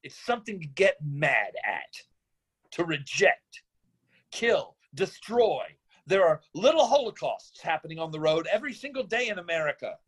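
A man plays a middle-aged father who left his family, idealistic and disillusioned. He speaks deliberately and broodingly, as in a dramatic table read, with a philosophical bitterness underneath. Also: fairly high pitch, sing-song, fairly bright tone, breathy edge, full character voice. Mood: brooding, impassioned. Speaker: actor in a screenplay reading.

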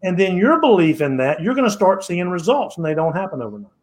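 A man's voice: sits high, sing-song, fast. A man in his sixties, a podcast guest, giving motivational advice in a preachy, repetitive way and often restarting his phrases. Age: 60s. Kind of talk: motivational interview talk.

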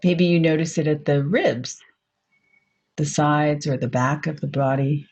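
Breathy, slow-spoken woman guiding meditation. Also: low voice, fairly even intonation, muffled recording, faint music behind. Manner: soft, unhurried, gently inviting phrasing. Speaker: meditation guide. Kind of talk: guided meditation.

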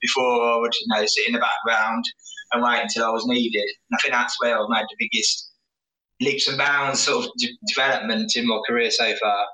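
A man working in finance, speaking candidly as a podcast guest, self-deprecating and halting with many restarts. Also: medium pitch, little pitch movement, pushed and bright. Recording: echoey room, distant mic.

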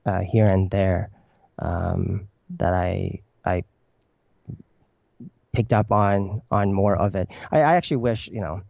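Hesitant low-voiced man, gravelly and mellow. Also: takes it slowly, long pauses, dry recording, dull audio. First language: Chinese. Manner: hesitant, many fillers and restarts. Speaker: poet guest. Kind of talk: reflective interview answers.

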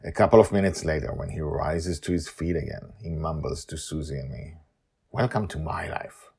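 A man's voice: very deep voice, a little fast, creaky, warm tone, breathy edge. A man hosting a storytelling podcast, moving between casual talk and scripted narration with theatrical emphasis.

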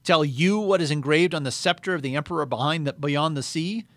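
A man's voice: on the high side, brisk, a bit bright, no echo, clean studio sound, voice pushed up. A man reading aloud and voicing a cold, imperious witch queen who speaks in a haughty, menacing way.

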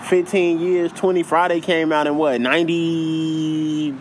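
A man's voice: on the high side, little pitch movement, measured pace, slight background noise, low-quality recording. A man co-hosting a podcast, chatting casually with loose slang and lots of repeating himself. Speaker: podcast co-host. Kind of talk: casual chat.

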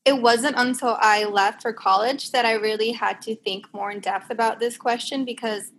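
A woman's voice: a little high, fairly even intonation, moderate pace, fairly bright tone. A young woman from Puerto Rico, a guest speaking earnestly and hesitantly in a casual discussion. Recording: faint background noise.

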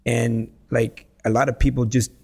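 A man from El Salvador, a real estate investor, guesting on a podcast and talking in a lively, storytelling way with many "you know"s and "right?"s. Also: mid-range voice, normal speed, creaky, slightly rough. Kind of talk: casual podcast conversation.

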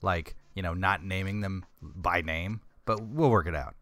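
A man's voice: deep voice, measured pace, pushed and bright, no room sound. A man hosting a casual podcast in a loose, rambling way, laughing easily with his co-host.

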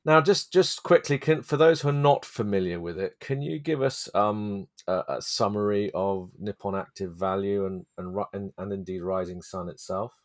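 A man hosting a podcast interview, his voice hoarse from hay fever, talking casually with many ums and restarts. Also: lowish voice. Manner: halting, many fillers. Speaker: podcast host.

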